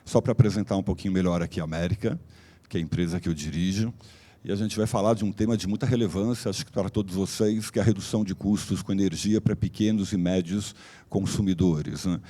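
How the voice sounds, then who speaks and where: Slightly hoarse man presenting, dark voice. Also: low voice, steady tone, quick pace. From Brazil. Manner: loose, explanatory with frequent fillers and restarts. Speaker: company director giving a talk. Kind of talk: conference presentation. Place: conference hall.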